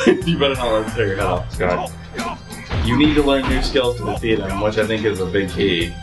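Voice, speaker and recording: A man co-hosting a gaming show, reacting loudly, laughing and teasing his partner as they play. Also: on the low side, moderate pace. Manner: excitable, swearing, laughing, teasing. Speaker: co-host playing along. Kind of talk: casual let's-play commentary. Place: indoor room, seated on a couch. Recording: roomy echo with loud game music.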